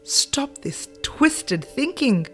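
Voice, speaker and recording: A woman's voice: on the high side, sing-song, moderate pace, faint music behind. A woman doing a proud, indignant queen. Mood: angry. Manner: firm and indignant. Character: proud, kind young queen.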